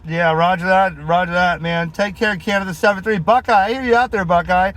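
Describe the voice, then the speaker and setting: A man's voice: high-pitched, steady tone, brisk, a bit bright. A man in a vehicle talking casually as a CB radio operator, friendly, using radio lingo and call signs. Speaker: CB radio operator, video host. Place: inside a vehicle, mobile radio. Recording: clear background noise, vehicle and radio clicks. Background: radio noise and vehicle sound.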